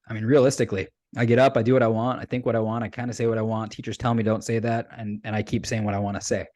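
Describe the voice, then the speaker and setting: A man's voice: mid-range voice, darkish tone, no echo. A man hosting a podcast, casual and enthusiastic, calling his guest dude. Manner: laid-back, enthusiastic, says dude. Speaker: podcast host. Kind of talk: casual interview chat.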